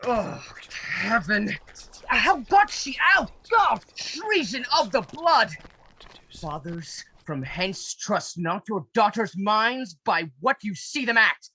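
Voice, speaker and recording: A woman's voice: medium pitch, big pitch swings, takes it slowly, bright, forceful tone, exaggerated voice, rough recording. A woman playing an outraged, grieving noble father in an amateur Shakespeare radio play, declaiming theatrically. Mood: anguished. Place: home, recorded over a video call. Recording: faint raspy video-call recording.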